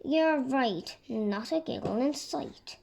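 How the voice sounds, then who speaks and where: A woman's voice: high voice, expressive, slow, creaky, a little mellow, slight background noise, exaggerated voice. A woman voicing a male storybook doctor with a matter-of-fact, instructing manner. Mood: apologetic.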